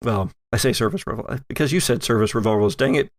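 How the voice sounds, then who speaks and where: A man running a tabletop game, improvising narration in a halting, chatty way. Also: mid-range voice, no echo. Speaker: game master.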